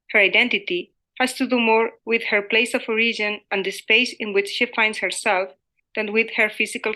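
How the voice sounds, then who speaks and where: Bright-voiced woman reading an academic paper. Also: mid-range voice. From Spain. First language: Spanish. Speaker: academic researcher presenting a paper. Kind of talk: scripted conference presentation read aloud.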